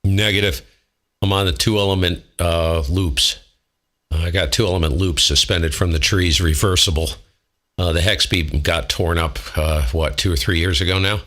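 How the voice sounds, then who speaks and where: A man's voice: low voice, fairly even intonation, moderate pace, fairly bright tone. A man chatting casually as an amateur radio operator, in a blunt, slangy way. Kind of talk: casual ham radio chat.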